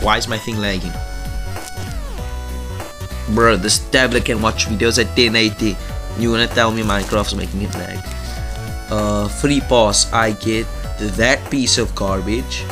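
A young man casually commentating a mobile game, rambling and joking in slangy chat. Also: fairly low pitch. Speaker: gaming YouTuber.

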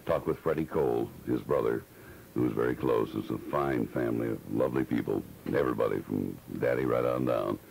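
A man's voice: a bass voice, brisk, gravelly, a little mellow, slight background noise, rough recording. A male radio DJ talking in a reflective, conversational style on an old radio aircheck tape. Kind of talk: unhurried, reflective music-radio talk.

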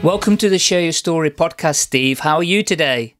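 A man's voice: fairly high pitch, fairly bright tone, faint music behind. A man hosting a podcast, welcoming his guest and asking questions in an upbeat, encouraging way. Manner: upbeat, encouraging, quick acknowledgements. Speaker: podcast host. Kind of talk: podcast interview.

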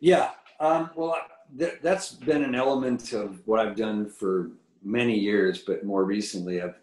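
An older man with a low baritone voice, chatting as a guest musician over a video call, rambling and enthusiastic with many fillers. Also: measured pace, a little mellow, reverberant, low-quality recording. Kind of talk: casual interview chat. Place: home room with painted mural, video call. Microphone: video call webcam.

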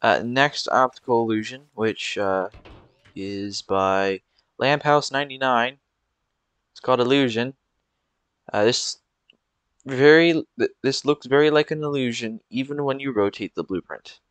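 A man's voice: medium pitch, a little slow, fairly bright tone, clean tone, no echo. A young man giving rambling, casual commentary over gameplay, with frequent fillers and restarts. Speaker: gameplay video creator.

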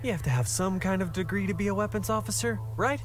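A man's voice: high voice, fast talker, soft background music, close to an everyday voice. A man playing a bossy, impatient starship helm officer in a comedy adventure game, talking down to people. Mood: impatient, dismissive. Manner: impatient, condescending.

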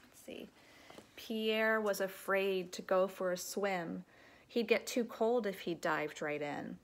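A woman, around her thirties, reading a children's picture book aloud like an elementary teacher, with expressive, deliberate delivery and explanatory asides. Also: medium pitch. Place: living room at home.

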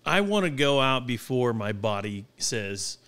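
A man's voice: medium pitch, sing-song, a little slow, a bit bright, clear, resonant tone. A man of thirty-nine chatting casually as a podcast guest, blunt and joking with plenty of swearing, close on the mic. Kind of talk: casual chat. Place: garage workshop, indoors. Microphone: podcast microphone on boom arm, close.

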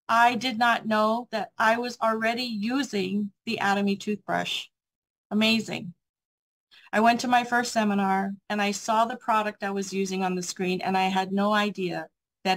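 American woman, motivational seminar speaker over a video call, earnest and encouraging. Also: on the high side. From USA. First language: English. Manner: earnest, encouraging, asks the audience rhetorical questions. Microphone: video call mic.

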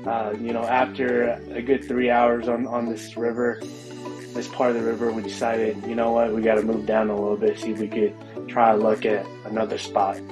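A relaxed man talking through his outing as he fishes, unhurried and full of little "you know"s. His voice sits at an ordinary middle pitch. It has the open sound of a riverbank, caught by a camera worn on his body, with music playing underneath. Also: poor audio. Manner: relaxed, explanatory, with fillers. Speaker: fishing vlogger. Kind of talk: casual outdoor narration. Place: snowy riverbank outdoors.

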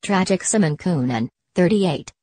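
A woman with a deep, low voice delivers a scripted news story. Her read is even and measured, and the recording is dry, with no room sound. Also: breathy edge, no echo. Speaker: news narrator.

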